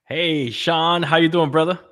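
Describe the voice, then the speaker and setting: A man's voice: a little high, fairly even intonation, a little slow, fairly bright tone. A man from Puerto Rico talking casually as a podcast guest, telling his own story in a conversational way. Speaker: podcast guest, real estate agent and pastor.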